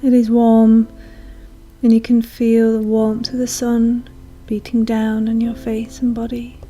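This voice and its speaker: A woman leads a guided meditation in a soothing, hushed manner, leaving long pauses. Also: a little high. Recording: soft music behind voice. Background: calm meditation music.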